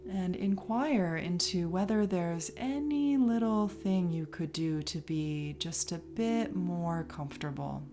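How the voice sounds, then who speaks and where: A woman leading a guided relaxation as a yoga teacher, calm and soothing, leaving long pauses between phrases. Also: fairly low pitch. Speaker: yoga nidra instructor. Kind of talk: guided meditation, relaxation instruction. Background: music behind the voice.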